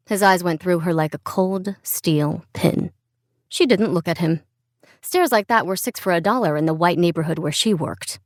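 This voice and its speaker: A woman reading scripted literary fiction as an audiobook narrator, with measured, expressive storytelling. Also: mid-range voice, no room sound, clean studio sound. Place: dry studio.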